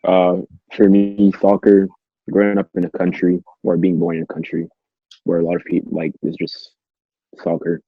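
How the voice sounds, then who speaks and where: Teen guy from cameroon, french native, husky voice, halting casual talk, kinda muffled dry audio. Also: low voice, flat delivery, dry recording. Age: teens. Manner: halting, repeats himself. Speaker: podcast guest. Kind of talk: casual chat, anecdotes.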